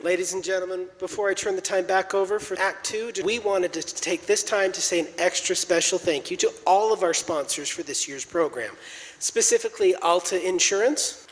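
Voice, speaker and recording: A man's voice: sits high, moderate pace, a little hiss or hum. A man hosting a live radio show, announcing in a showy, jocular old-time style in a church hall. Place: large church, live stage performance.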